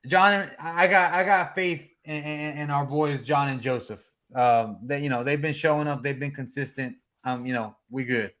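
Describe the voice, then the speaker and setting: A man's voice: fairly high pitch, steady tone, moderate pace, few pauses, fairly bright tone. A man hosting a casual sports fan podcast, hyped and rambling with lots of fillers. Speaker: podcast host.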